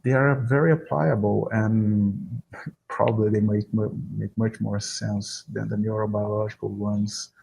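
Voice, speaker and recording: A man's voice: on the low side, steady tone, measured pace, gravelly, a little mellow, breathy edge, low-quality recording. A man with a Brazilian Portuguese accent, a native Portuguese speaker, explaining as an academic interview guest and searching for words.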